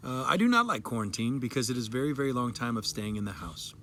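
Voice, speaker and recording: A man performs a young girl who is thoroughly fed up with quarantine. The delivery is childlike, earnest and emphatic, repeating itself in a dramatic reading of a sad little story. There is clear noise behind the voice. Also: medium pitch, sing-song, fast, a bit bright. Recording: clearly noisy background.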